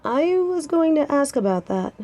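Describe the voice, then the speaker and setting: A woman's voice: high voice, sing-song, normal speed, dark tone. A woman playing a protective, stubborn young lover in an intimate audio drama, wry and conversational. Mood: worried. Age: young adult.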